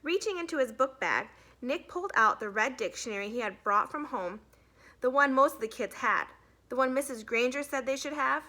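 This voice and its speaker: A woman's voice: high-pitched, animated, moderate pace, pushed and bright. A woman narrating a children's storybook as a teacher reading aloud to a class.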